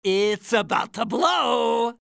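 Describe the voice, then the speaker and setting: An actress playing a taunting, sadistic boss fighter in a video game, with mocking, drawn-out delivery. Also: female voice, on the high side, expressive, creaky, fairly bright tone, dry recording, studio quality, heavily acted. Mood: gloating.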